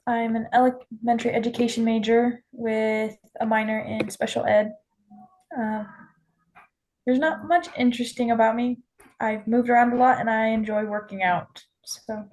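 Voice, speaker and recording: Young woman on a video call, slightly high-pitched, brief and matter-of-fact. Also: fairly even intonation, normal speed, darkish tone, rough recording. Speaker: elementary education student. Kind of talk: casual self-introduction on a video call.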